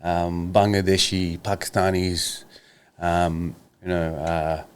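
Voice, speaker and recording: An Australian man in his thirties, co-hosting a podcast, earnest and halting as he steers the chat with questions. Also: sits low, level tone, clean tone. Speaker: podcast co-host.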